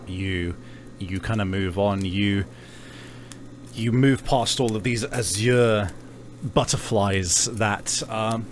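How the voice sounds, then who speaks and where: A man with a fairly low voice acts as game master for a tabletop game. He describes scenes in a halting, conversational way, with faint noise behind him. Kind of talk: narrating a tabletop roleplaying game.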